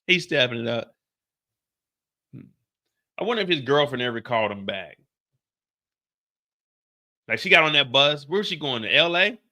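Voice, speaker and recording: A man's voice: medium pitch, sing-song, normal speed, long pauses, bright, forceful tone. A man giving casual, slangy reaction commentary on a TV episode. He talks to the screen with a mild stutter. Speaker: reaction video host.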